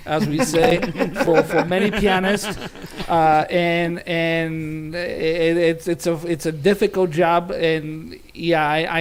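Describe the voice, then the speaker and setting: A man, a pianist on a jury panel, giving critique in a halting way with frequent restarts. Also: high-pitched, smooth. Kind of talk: spoken critique.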